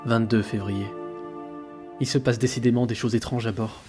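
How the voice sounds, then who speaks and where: An actor playing a troubled, earnest sailor who reads out his logbook in a grave, measured way for an audio drama. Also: mid-range voice, steady tone, loud music behind the voice, close to an everyday voice. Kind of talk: dramatic diary narration in French audio fiction.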